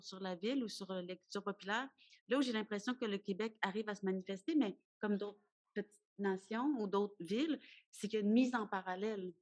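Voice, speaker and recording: A woman speaking thoughtfully as a panelist in an academic round table, often repeating words as she thinks. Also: fairly low pitch.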